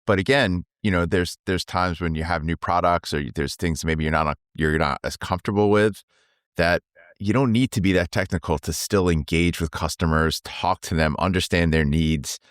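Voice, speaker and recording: A man's voice: low-pitched, moderate pace, no echo, clean studio sound. A man co-hosting a sales podcast, talking in an emphatic, conversational way, with a slight cold. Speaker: co-host, sales trainer. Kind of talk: casual podcast chat.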